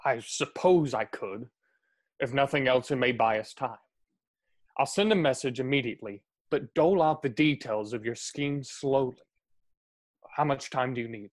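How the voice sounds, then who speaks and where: A young man playing a stern, reluctant starship captain in a science-fiction radio play, deliberate and authoritative. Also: mid-range voice, close to an everyday voice.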